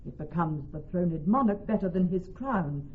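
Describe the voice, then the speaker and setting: An actress playing a composed, eloquent young doctor of law in Shakespearean radio drama, poised and declamatory. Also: female voice, lowish voice, lively intonation, moderate pace, natural voice. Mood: earnest, eloquent. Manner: poised, declamatory, clearly phrased. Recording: slightly muffled old radio recording.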